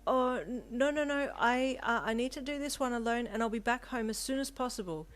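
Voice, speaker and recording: A woman's voice: on the high side, measured pace, fairly bright tone, soft background music, voice pushed up. A woman voicing a shy, nervous young man, an earnest hero in love, stammering and sincere in an audio story.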